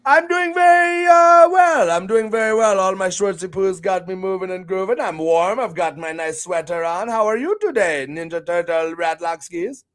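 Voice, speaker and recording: A man's voice: high-pitched, lively intonation, normal speed, hardly any pauses, fairly bright tone. A man hosting a live stream, talking in a hammy, playful, exclamatory way. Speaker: streamer and show host. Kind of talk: casual live-stream chat.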